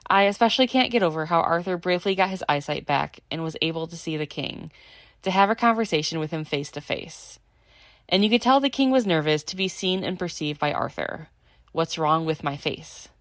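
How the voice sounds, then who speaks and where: Heartfelt woman, low-ish voice, dry recording. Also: dry recording. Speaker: listener sending a voice message. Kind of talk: prepared fan commentary.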